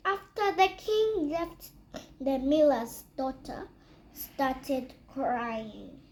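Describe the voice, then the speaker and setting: A high, childlike voice reads a fairy tale aloud for children. The delivery is very slow and halting, with words repeated and corrected along the way. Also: a little hiss or hum. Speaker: storyteller reading aloud.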